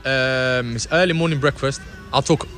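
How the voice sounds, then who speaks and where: A man's voice: mid-range voice, moderate pace, fairly bright tone. A young man from Spain, a footballer, answering interview questions by a pool outdoors, with many restarts and fillers. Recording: music playing behind. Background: music.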